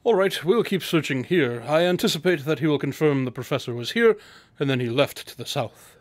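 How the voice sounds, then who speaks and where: An actor playing a clever, confident detective in a radio drama, with precise, formal and superior diction. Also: male voice, on the high side, lively intonation, a little fast, dry recording, natural voice. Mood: self-assured, deductive.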